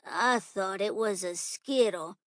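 A woman's voice: medium pitch, slow, no echo, clean studio sound, close to an everyday voice. A woman voicing a dim, curious young schoolboy in a storybook, blunt and guileless. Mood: sheepish.

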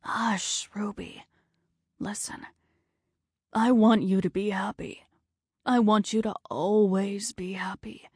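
A woman voicing a gentle, dying older husband in a soft, breathy whisper. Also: medium pitch, takes it slowly, no room sound, clean studio sound. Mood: tender.

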